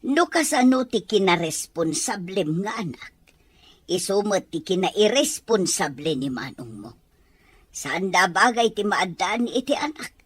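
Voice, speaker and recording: A woman playing an elderly, tender but sharp-tongued Filipino grandmother with an Ilocano accent and husky voice in an audio drama. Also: medium pitch, sing-song, unhurried. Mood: scornful, worried.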